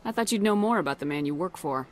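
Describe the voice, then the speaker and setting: Woman doing a blunt military commander for a scifi game, brisk, businesslike, faint noise behind. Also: fairly low pitch, animated, natural voice. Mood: direct, businesslike. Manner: brisk, direct, no-nonsense. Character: direct, questioning military commander in space. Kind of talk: video game character dialogue.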